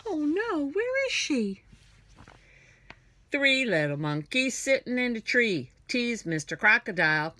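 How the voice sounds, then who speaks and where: A woman with a bright, fairly high voice reads a picture book to small children. She takes her time and speaks in a theatrical singsong with very wide swings in pitch, sometimes sinking into a hush. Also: big pitch swings, a little slow, no echo. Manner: theatrical, singsong, drops into hushed whispers. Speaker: child care teacher reading to young children. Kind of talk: animated picture-book read-aloud for young children.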